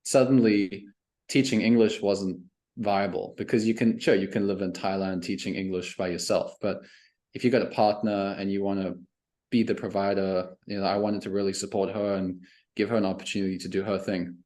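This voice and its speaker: A young man from South Africa with a mixed South African and Canadian accent, telling his story as a podcast guest in a relaxed, laid-back way. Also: deep voice, a little fast. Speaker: guest, email marketing coach. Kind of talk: casual interview chat.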